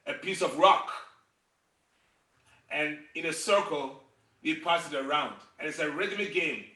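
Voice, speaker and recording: A middle-aged man with a bright, high voice teaches a song game in an encouraging, gentle way, taking his time over every phrase. He is heard from some distance off, in a roomy, echoey space on a video call. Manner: gentle, encouraging, leads call-and-response singing. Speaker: music and storytelling teacher. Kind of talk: singing game lesson for children. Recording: roomy sound from a distant mic.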